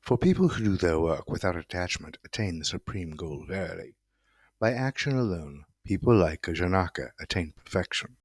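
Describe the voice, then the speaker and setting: A man with a deep voice reads sacred verses in a solemn style. He phrases them in a stately, deliberate way, and the recording is very dry. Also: lively intonation, breathy edge, no echo. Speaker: reader of quotations. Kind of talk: solemn reading of scripture quotations.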